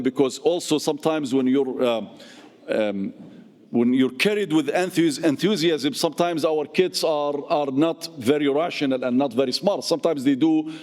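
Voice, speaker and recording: A middle-aged man giving an impassioned speech as a community speaker and activist, emphatic and repetitive, his voice swinging up and down. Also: a little mellow. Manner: passionate, emphatic, with repeated phrases and big pitch swings. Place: mosque hall. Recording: long reverb of a large hall.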